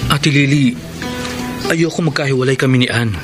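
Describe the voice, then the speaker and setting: A man's voice: medium pitch, moderate pace, music in the background, lightly acted. A man with a Filipino accent acting an earnest, frustrated young live-in boyfriend in a radio drama. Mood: pleading.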